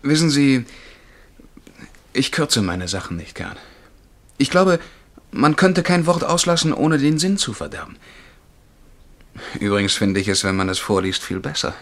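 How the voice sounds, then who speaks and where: An actor playing an eager, naive young would-be poet in a radio play, earnest, polite and a little self-important. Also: male voice, medium pitch, very animated, a little slow, long pauses, a bit bright. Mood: proudly earnest.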